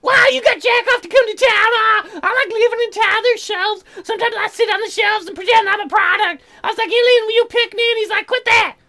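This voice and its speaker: Woman doing a squeaky-high ditzy townswoman. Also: fairly quick, few pauses, bright, forceful tone, exaggerated voice. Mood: chirpy, rambling. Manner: airheaded, rambling, full of "like". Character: ditzy, crude, oversharing young townswoman. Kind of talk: comic visual novel voice acting.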